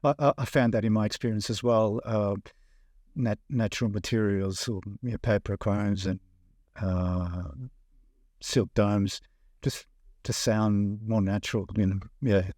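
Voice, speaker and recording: A man's voice: on the low side, fairly even intonation, a little slow, darkish tone, no echo, studio quality. A man interviewing in a conversational style, rephrasing his questions as he goes. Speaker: interviewer, audio magazine editor.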